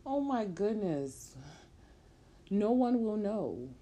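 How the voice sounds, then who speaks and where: A woman's voice: lowish voice, fairly quick, warm tone. A woman voicing a young pregnant woman who speaks in a pleading, emotional way. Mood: eager.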